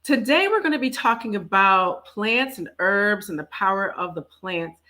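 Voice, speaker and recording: A woman's voice: mid-range voice, expressive, moderate pace, pushed and bright. A woman hosting a talk show, speaking in a chatty, effusive, playful storytelling way in casual conversation. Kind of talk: casual welcoming conversation and introduction.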